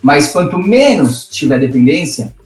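A man's voice: on the high side, very animated, measured pace, darkish tone, soft background music. A man as a podcast guest, giving confident, thoughtful opinions. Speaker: podcast guest, digital specialist. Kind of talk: casual podcast chat. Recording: roomy echo.

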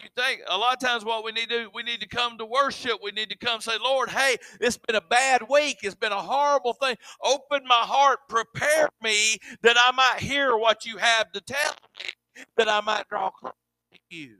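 A man preaching a sermon, describing his own voice as monotone, often repeating words as he restarts. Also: sits high, no echo, low-quality recording. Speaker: pastor.

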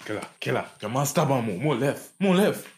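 A man's voice: mid-range voice, lively intonation, brisk, natural voice. A man acting a panicky street gang member in an audio play, with a Ghanaian accent. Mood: panicked, urgent.

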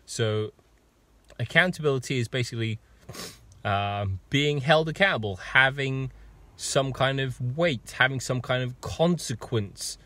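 A hoarse man with a husky voice and a sore throat, a fitness coach talking casually to viewers with many pauses and ums. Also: medium pitch, bright, forceful tone. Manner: rambling, with many ums and restarts. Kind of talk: casual live video talk.

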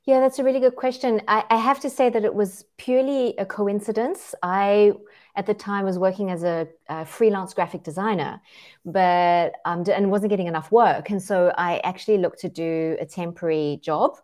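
A woman's voice: medium pitch, fairly even intonation, normal speed, darkish tone. A middle-aged woman from South Africa with a mixed South African and Australian accent, speaking earnestly as an interview guest with many fillers. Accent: South African with Australian and French influence. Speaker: guest, activist. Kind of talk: interview, personal account.

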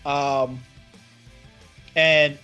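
A man hosting a podcast, telling a story in a casual, animated and profane way. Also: a little high, very slow, clean tone, highs cut off, soft background music. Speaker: podcast host. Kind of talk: casual chat.

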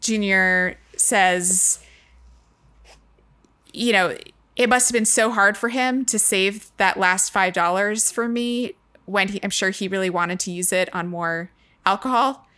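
A woman's voice: medium pitch, a little slow, bright, forceful tone. A woman co-hosting a book discussion in a casual, conversational style.

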